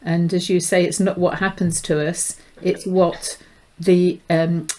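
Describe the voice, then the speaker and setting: Middle-aged woman, flat intonation. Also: fairly low pitch, measured pace. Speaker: interview host, behaviour profiling specialist. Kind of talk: conversational interview.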